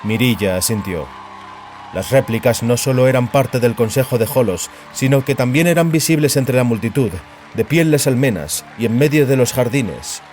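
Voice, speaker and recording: Guy narrating a sci-fi audio story, mid-pitched voice, bit of music behind. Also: darkish tone. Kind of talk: dramatic audiobook narration of science fiction.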